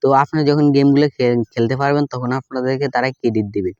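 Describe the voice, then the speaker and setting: Bangladeshi guy doing a phone app tutorial, talks fast, close dry slightly muffled recording. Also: medium pitch, steady tone, a little mellow, no room sound. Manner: repeatedly adds a checking "okay?" after statements. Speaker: tech tutorial host.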